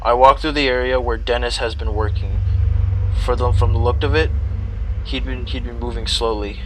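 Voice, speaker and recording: A man's voice: mid-range voice, fairly even intonation, normal speed, slight background noise, poor audio. A man hosting a gaming video, reading story text aloud with commentary and often stumbling over and repeating phrases.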